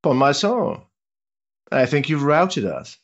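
An actor playing a worldly older duke, an amused, teasing schemer with an urbane, roguish manner in a period stage drama. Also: male voice, mid-range voice, unhurried, lo-fi sound. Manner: urbane, roguish, patronising flattery with chuckles.

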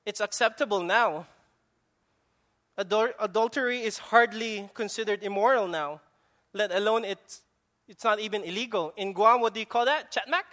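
A man's voice: high-pitched, normal speed, pushed and bright. A man with a Filipino accent preaching a sermon, emphatic, often repeating and restarting his words. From Philippines. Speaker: pastor.

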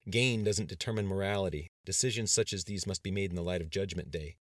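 A man's voice: sits low, fast talker, no echo, clean studio sound, lightly acted. A man reading the part of a zealous, righteous male press secretary in an audiobook, preachy and certain. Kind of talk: dramatized audiobook dialogue.

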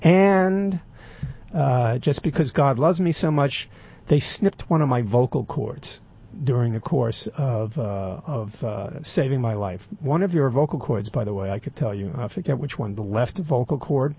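An older man with a raspy voice, telling a rambling personal story with dry, wry humor and many fillers. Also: medium pitch, measured pace, highs cut off. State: throat occasionally closing up.

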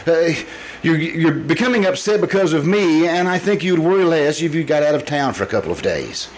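A man performs a forgetful, mild-mannered husband in an old radio play. The voice sits a little high and moves haltingly, stumbling over phrases as if lost. Faint noise sits around the recording. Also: animated, lightly acted. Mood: gentle, flustered. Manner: hesitant, halting, stammering. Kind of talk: old-time radio drama acting. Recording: noisy live stage performance recording.

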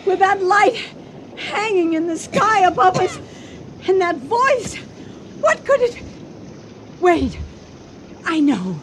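An actress playing an anxious, devoted wife in a radio drama, with halting, dramatic delivery. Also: female voice, very high-pitched, very animated, heavily acted. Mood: alarmed. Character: anxious, devoted wife aboard a storm-tossed yacht. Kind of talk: 1980 radio drama acting. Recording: noisy radio drama with boat ambience.

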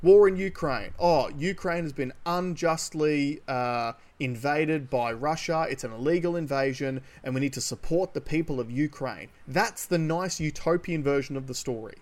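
Male podcast co-host, slightly high-pitched, opinionated, casual and emphatic. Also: moderate pace, hardly any pauses, a little mellow.

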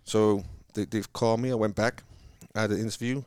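A man from Wales with a Welsh accent, chatting casually about his sales career in a halting, rambling way, with many restarts. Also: lowish voice, steady tone, a little mellow, clean tone, no room sound, clean studio sound. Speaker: car salesman guest. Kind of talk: casual conversation.

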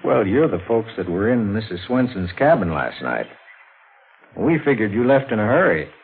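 An actor playing a matter-of-fact, folksy American state police officer with easygoing authority in a 1940s radio drama. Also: male voice, lowish voice, sing-song, moderate pace, vocal fry. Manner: plain-spoken, easygoing authority. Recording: tinny, noisy old radio recording.

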